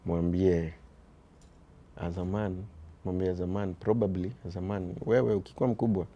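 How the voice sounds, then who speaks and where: A man with a Kenyan accent and a very deep voice, talking earnestly as an interview guest about raising his sons, often dropping "you see" and shifting into Swahili. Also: fairly even intonation, normal speed, warm tone, highs cut off. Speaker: guest, father of boys. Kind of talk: reflective conversational interview.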